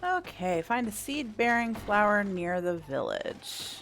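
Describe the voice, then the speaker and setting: A woman's voice: mid-range voice, very animated, takes it slowly, a bit bright. A woman giving casual, wry commentary as she plays a video game, thinking aloud. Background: faint game music.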